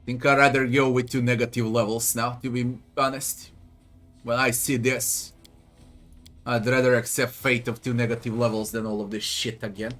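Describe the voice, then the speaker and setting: A man's voice: mid-range voice, flat delivery, normal speed, fairly bright tone. A man from Serbia with a Serbian accent gives casual game commentary, grumbling, swearing and muttering to himself. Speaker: gamer doing a playthrough. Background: faint game music.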